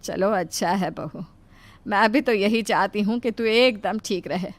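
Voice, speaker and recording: A woman's voice: mid-range voice, a little slow, pitched below the performer's own voice, close to an everyday voice. A woman voicing a worried, nagging mother-in-law who scolds and frets protectively in a cartoon moral story. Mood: resigned, soft. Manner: scolding, exclaiming, fussing.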